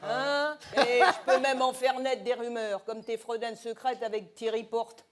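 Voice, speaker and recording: A man's voice: extremely high pitch, flat delivery, measured pace, a bit bright. A man voicing a brash older woman, a tough-talking tabloid paparazzi boss who mumbles around a cigarette, wisecracking and menacing in old gangster-film slang in a comic mock interview. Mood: sly, threatening.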